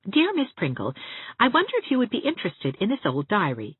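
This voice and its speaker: A woman's voice: medium pitch, huge pitch swings, fairly quick, breathy edge, no room sound, narrow, tinny audio, voice pushed up, full character voice. A woman reading a storybook as a young female schoolteacher, courteous and rather formal. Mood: stiffly polite.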